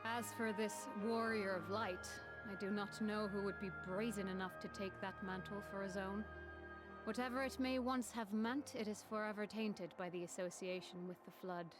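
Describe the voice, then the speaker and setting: An actress playing a composed, formal woman in a game cutscene, measured in speech and quietly caring. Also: mid-range voice, fairly even intonation, lightly acted. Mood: grave, formal. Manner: measured, formal, archaic wording. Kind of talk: dramatic video game cutscene acting. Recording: music behind the voice.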